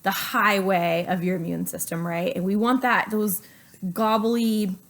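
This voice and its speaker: A middle-aged woman doctor talks with her child for a home health video, coaxing answers with leading questions. She sounds a little unwell, and her voice sits at an ordinary middle pitch. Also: steady tone, a little slow, gravelly. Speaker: doctor and host.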